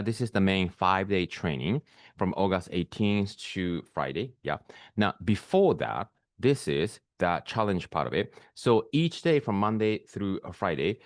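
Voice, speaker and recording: A man from Peru, a spiritual business coach talking slowly and reflectively to camera, pausing often with fillers. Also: low-pitched, no room sound, clean studio sound. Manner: slow, reflective, with frequent pauses and fillers. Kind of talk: solo talk to camera.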